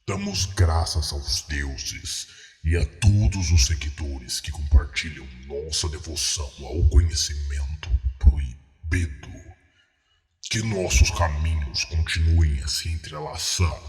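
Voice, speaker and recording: A man voicing a solemn male cult priest of ancient gods, intoning slowly with hushed whispers. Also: extremely low pitch, pitched below the performer's own voice. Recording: cavernous reverb with faint music.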